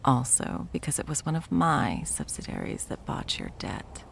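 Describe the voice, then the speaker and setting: A woman playing a possessive, coldly menacing yet cloyingly sweet corporate ex-girlfriend in an intimate ASMR roleplay, taunting with drawn-out endearments and breathy whispers. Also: rumbling low, big pitch swings, slight background noise, exaggerated voice. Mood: smug, condescending.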